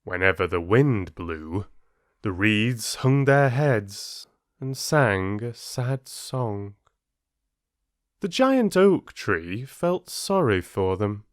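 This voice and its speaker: A man working as an audiobook narrator, reading stories and addressing listeners with measured, deliberate phrasing. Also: fairly low pitch, animated, no room sound, clean studio sound. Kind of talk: expressive storybook reading and listener chat.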